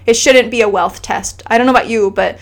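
Earnest, impassioned woman, higher voice. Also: a little fast. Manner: earnest and impassioned, with frequent restarts and "like". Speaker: guest sharing her family's immigration story. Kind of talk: casual conversational interview.

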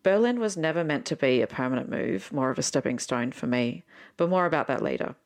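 Aussie woman doing a solo podcast intro, low voice, informal and candid. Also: lively intonation, fairly quick. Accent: Australian. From Sydney, Australia. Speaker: podcast host.

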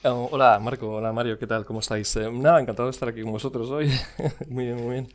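A man's voice: medium pitch, fairly even intonation, fast talker. A man, a guest in a casual interview, talking with many fillers and restarts. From Spain.

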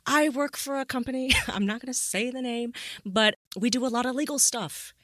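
A woman's voice: fairly high pitch, moderate pace, a bit bright, dry recording. A woman co-hosting a podcast discussion, speaking in an emphatic, blunt, casually sweary and opinionated way. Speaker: podcast co-host.

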